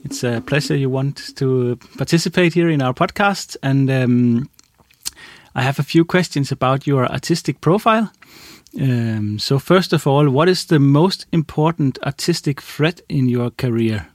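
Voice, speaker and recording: A man's voice: mid-range voice, unhurried, dry recording. A man hosting a podcast interview, putting questions in a careful, slightly formal way.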